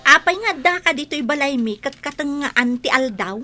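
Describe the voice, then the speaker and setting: A woman's voice: high voice, expressive, moderate pace, music in the background. An actress playing a haughty, irritated young woman in a radio drama, scolding sharply with an Ilocano accent. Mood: annoyed.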